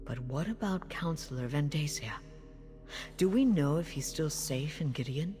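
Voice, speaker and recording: A woman's voice: sits low, lively intonation, normal speed, warm tone. A woman playing a proud, precise veteran councillor in a fantasy video game, formal, composed and dry. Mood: composed, concerned. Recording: long cavernous reverb, music behind.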